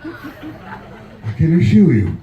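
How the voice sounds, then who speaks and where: A man's voice: sits high, huge pitch swings, drawn-out words, echoey room, narrow, tinny audio, slight background noise. A middle-aged man from the Netherlands with a Dutch accent, a clog maker joking and chuckling his way through a live craft demonstration in an indoor workshop. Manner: jokey, chuckling between remarks.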